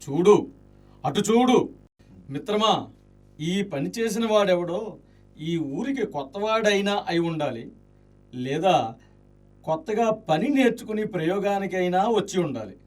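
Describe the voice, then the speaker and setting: An actor playing a talkative, jesting, loyal friend in an Indian radio play, with an exclamatory, theatrical delivery. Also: male voice, sits high, expressive, a little slow, pauses often, recorded from a distance. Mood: alarmed, urgent. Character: Maitreya, talkative jesting loyal male friend of a ruined nobleman.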